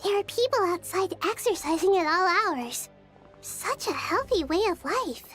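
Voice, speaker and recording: A woman voicing a gentle, thoughtful, childlike girl in a video game, serene and wise, with measured phrasing. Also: extremely high pitch, very animated, faint music behind, full character voice. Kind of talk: video game character acting.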